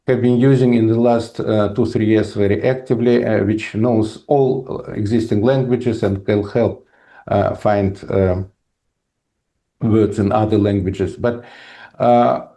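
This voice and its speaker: An older man from Russia with a Russian accent, a lecturer answering audience questions in a halting way with many hesitations. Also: mid-range voice, gravelly, mellow.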